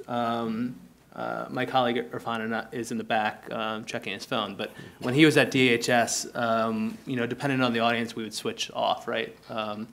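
A man's voice: mid-range voice, vocal fry, a bit bright. Casual man with frequent ums. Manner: casual, many ums, anecdotal. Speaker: panelist. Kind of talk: policy panel discussion. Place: conference room on a stage panel. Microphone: table microphone.

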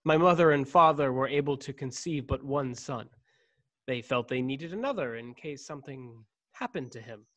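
A man's voice: medium pitch, lively intonation, fairly bright tone. A man in his thirties playing a brooding, stiffly formal clone in a science-fiction radio play. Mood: confessing, intense. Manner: measured, stiffly formal diction. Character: brooding, formal young man, secret clone of noble family. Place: home room on video call. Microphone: desk condenser microphone, headset.

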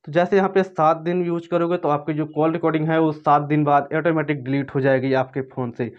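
A man's voice: fairly high pitch, steady tone, fairly quick. A man narrating an informal step-by-step tutorial in repetitive, instructional phrasing. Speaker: phone tutorial presenter. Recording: dull, lo-fi voice-over.

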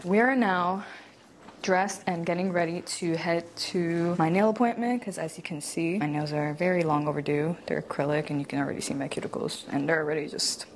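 A woman's voice: sits low, a little hiss or hum. A woman in her twenties chatting casually to her viewers in a lifestyle vlog, slangy and direct, on a clip-on mic in her apartment. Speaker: lifestyle vlogger. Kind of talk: casual vlog chat to camera. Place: apartment rooms, indoors. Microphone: clip-on lapel mic.

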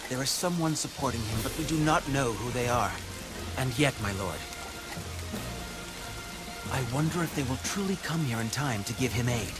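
Man playing thoughtful strategist, medium pitch, formal, deferential, game cutscene, music behind. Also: animated, natural voice. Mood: measured, cautious. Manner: measured, formal. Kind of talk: dramatic English video game cutscene acting.

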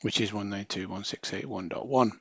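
A man's voice: on the low side, a little fast, a bit bright, husky, dry recording, highs cut off. Man giving a dry-sounding technical walkthrough. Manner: informal, step-by-step explaining. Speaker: technical trainer. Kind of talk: hands-on tutorial narration.

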